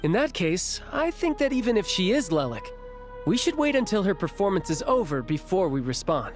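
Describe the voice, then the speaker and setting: Laid-back young soldier in a game, kinda wry, expressive delivery, music underneath. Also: male voice, sits high, normal speed. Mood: casual, considerate. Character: easygoing young male soldier, dutiful older brother. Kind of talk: video game dialogue acting.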